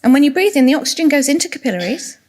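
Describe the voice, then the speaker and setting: Quiet-voiced woman presenting. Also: high-pitched, sing-song, very fast. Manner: quiet-voiced, engaging the room with questions. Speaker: conference speaker. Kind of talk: presentation talk to an audience. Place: conference room.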